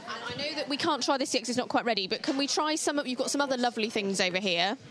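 Fast-talking, high-voiced young woman presenter. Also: expressive, a bit bright. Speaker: presenter interviewing at a food festival. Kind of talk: live on-location interview. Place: open tent at an outdoor street food festival. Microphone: handheld reporter microphone.

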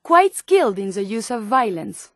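An actress playing a polite, earnest, curious robot scientist with a French accent in a video game, speaking formally and precisely. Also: female voice, medium pitch, very animated, normal speed, no echo, clean studio sound. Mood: earnest concern. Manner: formal, precise, with questioning tags. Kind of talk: video game character dialogue.

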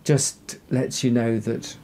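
A man being interviewed as an expert, explaining things in a gentle, reassuring and tentative way. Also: medium pitch, little pitch movement, takes it slowly. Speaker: psychiatrist, interview guest.

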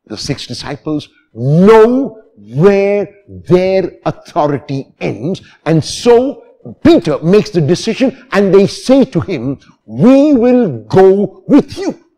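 A man in his sixties, a priest, preaching a homily in a deliberate, emphatic manner with phrases repeated for stress. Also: high-pitched, sing-song, warm tone. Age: 60s. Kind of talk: homily, expository sermon. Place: church, at the lectern.

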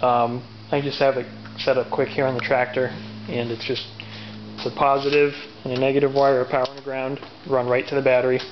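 A man giving a casual, unscripted how-to explanation as a DIY presenter, matter-of-fact and step by step, with hesitant pauses. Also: mid-range voice, little pitch movement. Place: garage or workshop with machinery. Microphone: handheld camera's built-in mic. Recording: dull lo-fi camera audio, background noise.

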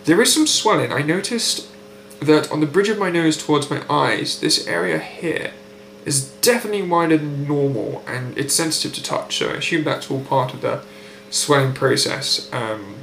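Young man, British accent, nasal blocked voice, precise unhurried talk, webcam in a roomy space, faint hum. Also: a little high, vocal fry, a bit bright. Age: young adult. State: nasal, blocked nose after surgery. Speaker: patient keeping a recovery diary. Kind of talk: measured video diary to camera. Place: indoor room at home by a window. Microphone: built-in webcam mic, close framing. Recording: echoey room with faint hum.

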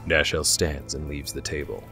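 Very deep male fantasy narrator. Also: little pitch movement, moderate pace, pushed and bright, soft background music.

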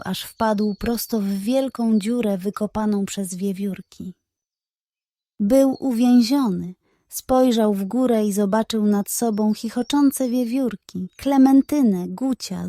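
A woman narrating a scripted children's animal tale in an expressive, deliberate storytelling manner. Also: mid-range voice, no room sound, studio quality.

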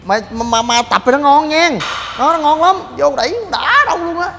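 A young man hosting a gym vlog, joking in rapid banter and shouting coaching cues. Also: very high voice. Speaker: fitness vlogger. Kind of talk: energetic gym demo vlog. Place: large indoor gym. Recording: long gym reverb with music.

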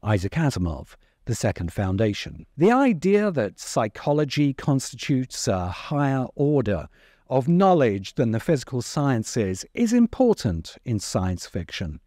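A man's voice: mid-range voice, very animated, measured pace, dark tone, no room sound. A middle-aged man hosting a video essay, delivering scripted narration into a close microphone. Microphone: close microphone on a stand in front of him.

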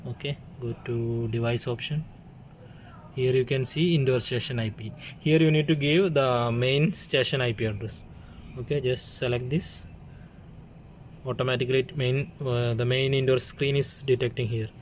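A man's voice: mid-range voice, steady tone, moderate pace. A man giving a hands-on, step-by-step tech demonstration in short, plain instructions, recorded indoors on a handheld phone. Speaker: tech tutorial presenter. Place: indoors at an equipment workbench. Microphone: handheld phone camera mic. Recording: clearly noisy room ambience on handheld recording.

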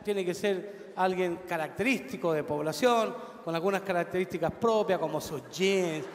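A Chilean comedian playing a bossy director in a live stage sketch, giving orders in an exaggerated way. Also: male voice, sits high, moderate pace, a little hiss or hum. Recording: live festival stage with hall echo.

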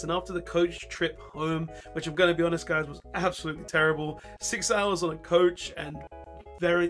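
A young man in his twenties, hoarse with his voice mostly gone, chatting casually to camera from his bedroom. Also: on the high side. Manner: chatty, laughing, talks straight to camera. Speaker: vlogger. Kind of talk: casual vlog chat. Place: bedroom at home. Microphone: webcam or desk camera, facing camera.

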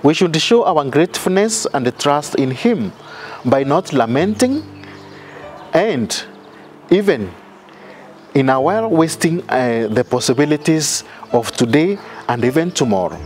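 A middle-aged man, a priest giving a measured sermon outdoors with many fillers and restarts. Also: a little high, animated, darkish tone, soft background music. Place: outdoor garden altar.

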